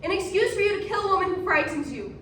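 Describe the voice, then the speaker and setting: A woman playing a defiant, scornful accused witch, speaking in a forceful, declamatory stage style. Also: very high-pitched, expressive, fast talker. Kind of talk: theatrical readers theatre acting. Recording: echoey hall from far off, noisy.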